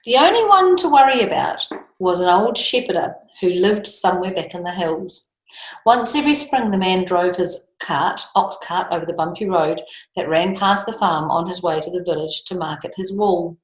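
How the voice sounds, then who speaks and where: A woman storyteller reading a children's book aloud with expressive, lilting emphasis. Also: fairly low pitch, normal speed. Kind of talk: storybook read-aloud with chatty asides. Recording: echoey room.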